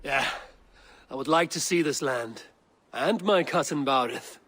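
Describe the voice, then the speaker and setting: An actor playing a blunt, commanding Viking warrior in a video game, speaking in terse, direct, wary lines. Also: male voice, a little high, a little slow, a bit bright, no echo. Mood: mildly pleased. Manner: terse, direct, plain-spoken. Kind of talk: video game cutscene acting.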